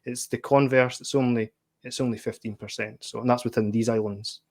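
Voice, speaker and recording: A man's voice: mid-range voice, fairly even intonation, a little fast, a little mellow. A man hosting an interview, chatty, repeating words as he frames questions. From Scotland. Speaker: podcast host. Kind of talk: casual interview chat.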